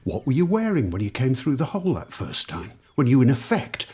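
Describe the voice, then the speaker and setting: Rough-voiced, suspicious angry man. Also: medium pitch, huge pitch swings, fairly quick, darkish tone. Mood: suspicious, accusing. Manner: sweary, interrogating, sarcastic. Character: suspicious, angry time-travelling man, sarcastic and accusing. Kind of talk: naturalistic audio drama acting.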